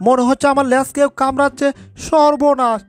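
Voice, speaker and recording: Lady doing a high voiced scaredy cartoon tomcat, fretting and exclaiming, music underneath. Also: little pitch movement, brisk, lightly acted. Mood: panicked, threatening. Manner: exclaiming, fretful muttering to itself. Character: panicky, put-upon male cartoon cat, easily frightened. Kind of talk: cartoon fan dub.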